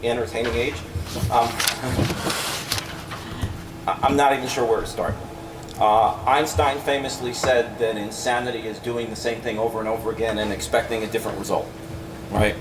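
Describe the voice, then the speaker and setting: Parent at public comment, emphatic, distant stand mic in an echoey room. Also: male voice, mid-range voice, steady tone, moderate pace, long pauses. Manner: emphatic, keeps asking "right?". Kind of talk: public comment at a school board meeting. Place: large school meeting room. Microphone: stand mic a few feet away. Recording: roomy, distant, noisy room sound.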